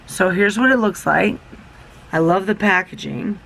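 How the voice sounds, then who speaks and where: Chatty young woman beauty vlogger, a bit sick and gravelly, talking fast and rambling. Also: medium pitch, a bit bright, low-quality recording. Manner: rambling, self-interrupting, enthusiastic. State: a little sick, losing her voice. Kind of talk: chatty product unboxing.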